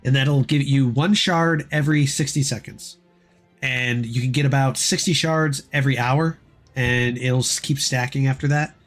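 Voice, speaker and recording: A man chatting casually on a gameplay livestream, rambling through game steps, his voice dry and hoarse as if he is losing it. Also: medium pitch, fairly even intonation, moderate pace, soft background music. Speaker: game streamer.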